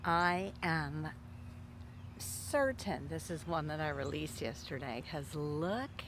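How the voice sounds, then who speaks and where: A woman showing her garden on her vlog, gushing and excited. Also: low voice, slow pace, slight background noise. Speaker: gardening channel host. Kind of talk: casual vlog narration.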